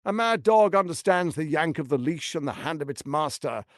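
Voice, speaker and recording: An actor voicing a scheming, smug tyrant lord in a video game, speaking in a pompous, silky, menacing and theatrically emphatic way with a gravelly edge. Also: male voice, fairly high pitch, animated, normal speed, no room sound, studio quality, close to an everyday voice. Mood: calculating. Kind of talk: dramatic video game voice acting.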